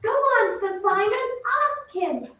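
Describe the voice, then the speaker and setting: Woman playing an extremely high, girlish young lady. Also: lively intonation, slow pace, pushed and bright, a little hiss or hum, exaggerated voice. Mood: eager. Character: earnest, gentle young English lady, girlish. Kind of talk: old time radio stage acting. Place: theatre stage. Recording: very echoey stage, distant mic, muffled.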